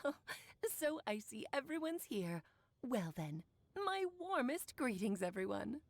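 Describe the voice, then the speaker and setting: A woman's voice: on the high side, sing-song, unhurried, dry recording, heavily acted. An actress playing a playful, worldly, motherly witch in a video game, with elegant, teasing, theatrical phrasing. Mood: warm, playful. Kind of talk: theatrical game voice acting, storytelling. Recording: background music under the voice.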